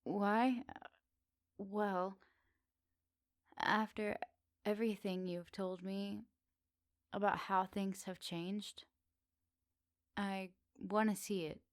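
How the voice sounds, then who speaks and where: A woman plays a lonely, flirty witch of the woods in an ASMR roleplay. She speaks softly and unhurriedly, teasing and kind, at a medium pitch. The sound is close and dry, as if for one listener. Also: steady tone, slow pace, pauses often, dry recording, natural voice. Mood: wistful, hopeful. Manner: soft, teasing, cozy delivery to one listener. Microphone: close dry microphone.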